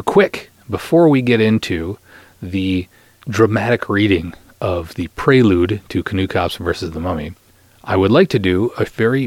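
A man's voice: on the low side, no room sound. A middle-aged man hosting a podcast in a casual, playful, teasing manner. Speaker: podcast host and interviewer. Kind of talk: casual hosting and light interview.

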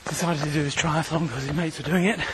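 A man's voice: fairly high pitch, steady tone, racing. A man hosting a podcast, chatting casually while running outdoors and a little out of breath. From Australia. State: panting from running. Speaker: podcast host. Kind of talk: casual running vlog monologue. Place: outdoors, running on hilly streets. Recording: loud outdoor background noise.

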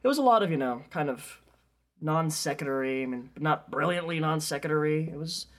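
A high-voiced man chats as a podcast co-host. His delivery is loose and jokey, with an irreverent, self-mocking streak. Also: expressive, fairly quick, a bit bright. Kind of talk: casual joking chat.

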